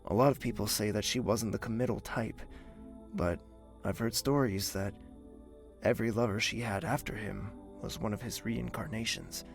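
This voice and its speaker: Man playing a wistful young lover, gravelly creak, hesitant, earnest, audio drama, faint music behind. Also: fairly low pitch, fairly even intonation, normal speed. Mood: wistful, gentle.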